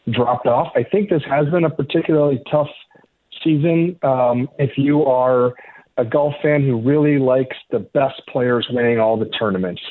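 A man's voice comes through a narrow, phone-like connection. He is a golf journalist being interviewed on sports radio, laying out his opinions in a conversational, explanatory and measured manner. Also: mid-range voice. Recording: thin, tinny phone-like connection.

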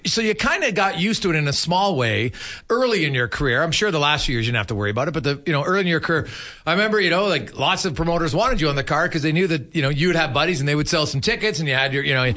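A man's voice: on the high side, bright, forceful tone. A man hosting a sports radio show, chatting conversationally and circling around his questions as he interviews a guest.

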